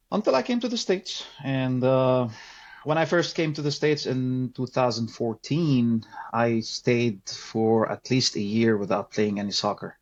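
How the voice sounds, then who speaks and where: A middle-aged man from Lebanon, reflectively telling stories as a podcast guest. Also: fairly low pitch. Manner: reflective, unhurried storyteller. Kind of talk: casual interview storytelling. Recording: slightly dull audio.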